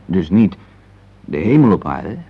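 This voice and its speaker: A man's voice: low voice, big pitch swings, unhurried, creaky, breathy edge, exaggerated voice. An actor playing a reflective, tender middle-aged husband in a radio play, hesitant and searching for words as he speaks. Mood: earnest, pondering. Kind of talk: intimate radio play dialogue. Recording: muffled phone-like old radio recording, hissy.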